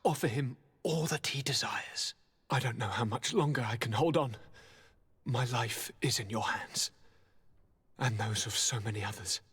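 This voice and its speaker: An actor playing a sick young nobleman governor in a video game, formal, theatrical and pleading, with a breathy, suffering delivery. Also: medium pitch, normal speed, fairly bright tone. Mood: pained, pleading. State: suffering, weakened by sickness. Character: ailing young nobleman governor, courteous, anguished, devoted. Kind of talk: dramatic video game acting. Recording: long hall-like reverb.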